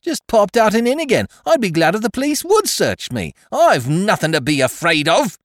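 A man voicing a sharp-tongued young chambermaid who scolds in common speech. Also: high-pitched, very animated, normal speed, dry recording, clean studio sound, voice pushed up. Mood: indignant.

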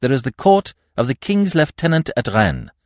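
A man reading aloud and voicing a calm, self-possessed, earnest young man. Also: a little high, normal speed, dry recording, clean studio sound, pitched above the performer's own voice. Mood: firm.

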